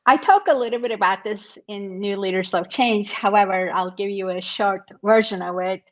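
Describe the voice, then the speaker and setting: Middle-aged woman guest from Turkey, mid-range voice, reflective and unhurried. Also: mid-range voice, highs cut off. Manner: thoughtful, frequent fillers, warm laughs. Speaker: author and guest. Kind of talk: conversational interview.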